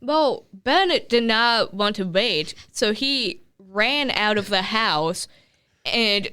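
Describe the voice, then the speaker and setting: A woman's voice: medium pitch, lively intonation, slow pace, pushed and bright, smooth. A woman of twenty co-hosting a podcast, telling a party story in casual, chatty fashion with plenty of fillers. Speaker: podcast co-host.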